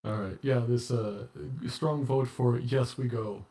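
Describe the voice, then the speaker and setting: A man with a middle-pitched voice speaks earnestly while playing a tabletop game. He sounds like a player on an online voice call. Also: fairly even intonation, measured pace, dark tone, slight background noise. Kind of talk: casual chat, partly in character.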